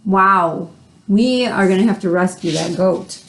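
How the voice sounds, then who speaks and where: A woman's voice: medium pitch, measured pace, a bit bright, rough recording. A woman reading a children's picture book aloud, adding chatty asides and simple explanations for young listeners. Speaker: read-aloud host.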